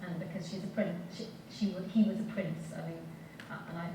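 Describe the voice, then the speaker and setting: A woman's voice: lowish voice, steady tone, brisk. A woman panelist offering tentative, reflective comments. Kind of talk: panel discussion. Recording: echoey hall from far off, faint noise.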